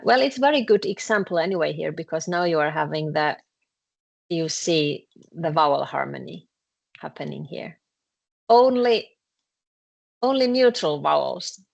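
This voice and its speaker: Slow, gravelly-voiced Finnish woman teacher. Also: deep voice. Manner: slow and patient, with frequent "uh" and "um" pauses. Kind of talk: classroom lesson explaining grammar.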